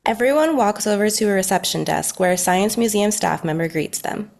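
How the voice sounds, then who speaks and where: A woman's voice: medium pitch, expressive, a little fast, vocal fry, darkish tone. A woman reading scripted narration as the narrator at a table read.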